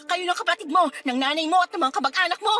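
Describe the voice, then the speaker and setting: A woman's voice: extremely high pitch, fast, sharp tone. An actress with a Filipino accent playing a hurt, angry young girlfriend, confrontational and sarcastic, in a radio drama. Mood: furious.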